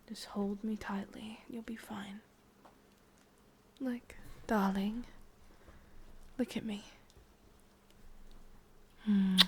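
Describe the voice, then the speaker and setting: A woman with an English accent playing a sadistic, possessive but secretly tender witch in a breathy, whispered ASMR roleplay. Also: mid-range voice, darkish tone, slight background noise. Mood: soothing, tender. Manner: slow, halting, teasing, swears under her breath.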